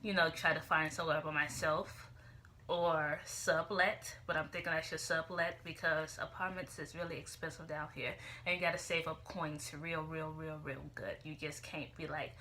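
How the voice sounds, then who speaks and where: A young woman chats loosely to her camera, drifting from thought to thought. Her voice sits a little low but sounds bright and forward. A faint hiss of room noise stays in the background. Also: steady tone, moderate pace. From Chicago, USA. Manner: rambling and chatty, full of "you know" and "like". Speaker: vlogger. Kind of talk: casual chat to camera.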